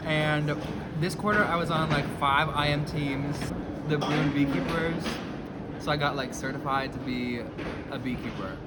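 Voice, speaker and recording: A young man college student, fast and offhand, answering interview questions. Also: fairly high pitch. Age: young adult. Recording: loud outdoor noise.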